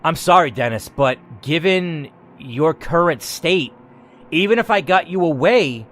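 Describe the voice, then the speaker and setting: A man's voice: on the high side, animated, a little slow, fairly bright tone. A man narrating a story game dramatically and reacting to its choices with emphatic commentary, as a gaming host.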